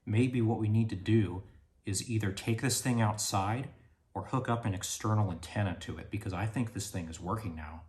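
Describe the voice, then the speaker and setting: A man's voice: lowish voice, normal speed, a little mellow. A man hosting a hands-on radio repair tutorial, plainly explaining each step while he works in a garage workshop. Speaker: radio restoration host.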